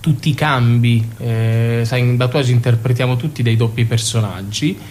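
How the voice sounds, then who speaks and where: A man's voice: mid-range voice, normal speed, a little mellow, slight background noise. Man chatting as a theatre interview guest. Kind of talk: conversational radio interview.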